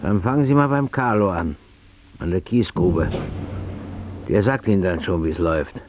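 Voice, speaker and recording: An actor playing a laconic, businesslike building-site boss in a naturalistic radio drama. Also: male voice, deep voice, animated, normal speed, background noise. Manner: laconic, matter-of-fact.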